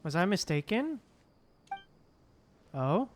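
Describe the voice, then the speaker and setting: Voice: mid-range voice, big pitch swings, takes it slowly. A streamer giving casual gameplay commentary and reading lines aloud, with game music behind.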